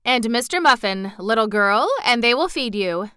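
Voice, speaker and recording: Woman as a pompous, crisp-voiced storybook bun man. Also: on the high side, expressive, moderate pace, a bit bright, no room sound, studio quality. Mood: formal, approving. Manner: pompous, stiff, formal. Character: stern, portly, self-important male bun man.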